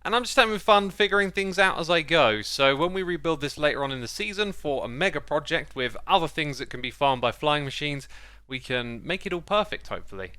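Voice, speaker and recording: A man with a slightly high, bright voice that is very clean and smooth, recorded dry and close. He chats casually as he hosts a gaming video, dropping in playful exclamations. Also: animated, fairly quick, dry recording. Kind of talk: casual gameplay commentary.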